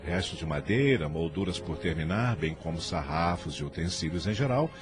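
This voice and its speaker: A man's voice: deep voice, lively intonation, moderate pace. A man with a Brazilian accent giving solemn, literary narration for a radio drama. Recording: music bed under narration.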